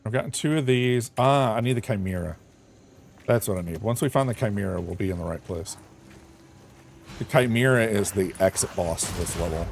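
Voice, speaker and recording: A man's voice: lowish voice, brisk, vocal fry. A man streaming a game from home with a crackly voice, rambling and thinking aloud with lots of fillers and self-corrections. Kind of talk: casual chat while gaming.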